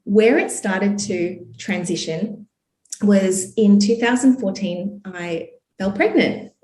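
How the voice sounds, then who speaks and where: A woman's voice: mid-range voice, takes it slowly, warm tone. Chatty Australian woman in a roomy space. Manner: chatty, upbeat, many fillers like "you know" and "like". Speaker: podcast guest, entrepreneur. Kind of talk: casual interview storytelling. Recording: roomy echo.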